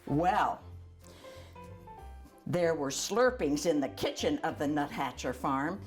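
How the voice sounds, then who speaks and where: An older woman reads a children's storybook aloud in an animated, chatty way, adding asides for young listeners. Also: sits low, takes it slowly, soft background music. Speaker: volunteer storyteller.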